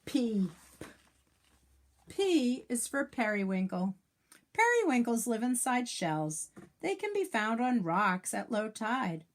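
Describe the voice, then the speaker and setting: Slow-paced woman reading to young children. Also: a little high, big pitch swings. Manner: sing-song, enunciating letters for young children; adds asides and questions to young listeners. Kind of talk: read-aloud of a children's alphabet picture book.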